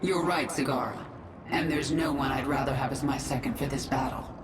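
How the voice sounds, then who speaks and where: A woman's voice: a bass voice, fairly quick, heavy background noise. An actress playing a vengeful alien swarm queen in a video game, cold, resolute and commanding, with a layered processed voice. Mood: resolute, reflective. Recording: echoey, distant, under loud game music.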